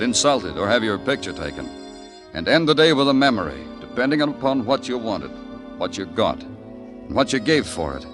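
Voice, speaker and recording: An actor playing a world-weary, sardonic police detective in an old radio noir drama, terse and brooding, with dramatic delivery. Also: male voice, medium pitch, lightly acted. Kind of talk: 1950s radio noir narration and acting.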